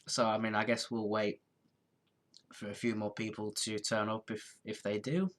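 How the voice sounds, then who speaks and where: A man's voice: lowish voice, little pitch movement, moderate pace. Tired, rambling man with a cold. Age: 20s. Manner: rambling, trailing off and restarting sentences. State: congested with a head cold, tired. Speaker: live streamer. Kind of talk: casual live-stream chat.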